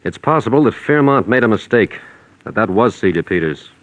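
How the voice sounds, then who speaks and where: Actor doing dogged American investigator, calm, lowish voice, dry sound, old-time radio crime drama. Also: male voice, a little fast, dry recording, lightly acted. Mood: calculating. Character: shrewd, dogged private investigator, calm and calculating. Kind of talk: 1940s radio mystery acting.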